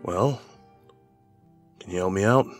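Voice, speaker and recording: An actor playing an irritable, evasive husband in an audio horror drama, with a husky voice. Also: male voice, low-pitched, takes it slowly, faint music behind. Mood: pleading, uneasy.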